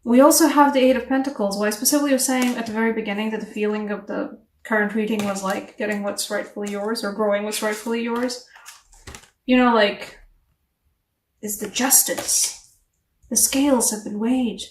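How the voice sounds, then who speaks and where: A woman giving a casual tarot reading to camera, chatty and animated, English not her first language, laughing at herself between rambling asides. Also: on the high side, moderate pace, recorded from a distance, a little hiss or hum. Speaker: tarot reader. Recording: echoey room, voice a bit off-mic.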